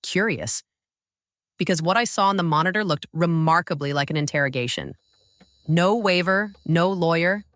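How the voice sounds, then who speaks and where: An actress playing a poised defense attorney in a noir audio drama, formal and deliberate with dry, cutting sarcasm. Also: female voice, on the low side, no echo, close to an everyday voice. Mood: cool, commanding.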